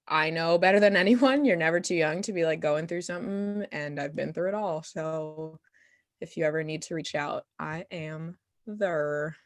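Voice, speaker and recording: A woman guest performer answering questions in a casual, expressive, slangy and giggly way at a post-show talkback. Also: fairly low pitch.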